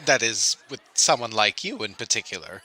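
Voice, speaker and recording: A man voicing a bashful, flattering schoolmaster in an old-time audio drama, stammering and halting in earnest, formal speech. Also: on the low side, heavily acted, low-quality recording. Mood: nervous, eager. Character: nervous, bashful, flattering male schoolmaster and singing master.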